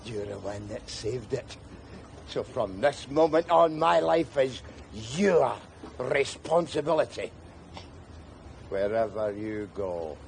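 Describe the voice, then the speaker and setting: An actor playing a sardonic, rambling middle-aged down-and-out man, who breaks into shouts. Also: mid-range voice, vocal fry, a little hiss or hum. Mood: grim. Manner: wry, rambling, bursts into shouts. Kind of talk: screen drama acting.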